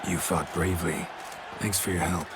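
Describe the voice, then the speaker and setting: A laconic fantasy game monster hunter, acted by a man, speaks in an extremely deep voice. He is stoic and understated, keeping phrases short over a loud crowd and background noise. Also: fairly even intonation, normal speed, lightly acted. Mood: reserved. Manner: terse, understated.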